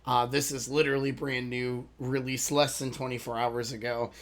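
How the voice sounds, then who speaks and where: A man with a hoarse, worn voice chats loosely to his viewers at a middle pitch. He rambles, says sorry and loses his thread now and then, in the easy tone of a reaction channel host. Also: level tone, normal speed. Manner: rambling, apologetic, loses his train of thought. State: hoarse from a lost voice. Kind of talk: casual chat to viewers.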